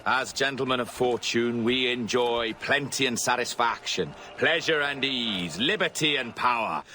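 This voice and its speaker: An actor playing a swaggering, mocking pirate captain in a video game, speaking in grand, taunting declamation. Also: male voice, mid-range voice, a little slow, pushed and bright, a little hiss or hum. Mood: rousing, grandiose.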